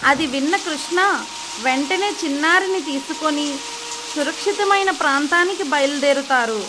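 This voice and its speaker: A woman's voice: high voice, moderate pace, very noisy. A woman narrating a scripted children's moral story as a storyteller.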